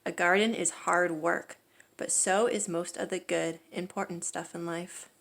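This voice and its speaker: A woman reading a children's picture book aloud as a storyteller, with expressive, emphatic delivery. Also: on the low side, unhurried, vocal fry.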